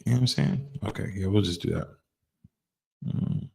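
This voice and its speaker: A man's voice: low-pitched, moderate pace, vocal fry, darkish tone. A man hosting a casual reaction chat, laid-back and rambling, with lots of fillers. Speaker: host.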